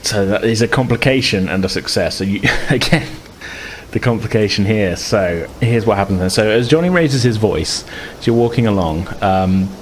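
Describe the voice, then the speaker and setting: A man's voice: lowish voice, lively intonation, normal speed, a little hiss or hum. A man improvising narration as the game master of a tabletop game, hesitantly piecing his phrases together.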